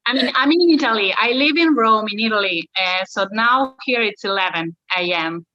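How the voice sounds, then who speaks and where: Bright-voiced woman on a dull video call. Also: a little high. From Argentina. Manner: friendly, eager, fast talking with fillers. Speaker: acting challenge participant. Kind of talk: casual chat on a video call.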